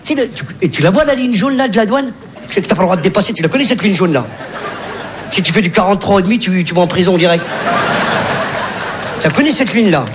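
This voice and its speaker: A man performing a live stand-up routine, telling a story with lively repetitions. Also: high voice, quick pace, pauses often, crowd noise. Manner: animated storytelling, repeats words for effect. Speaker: stand-up comedian. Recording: live stage recording with audience and hiss.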